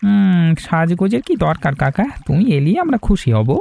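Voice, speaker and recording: A man voicing a friendly, hearty village fisherman and father in an animated cartoon. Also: high-pitched, moderate pace, vocal fry, heavily acted.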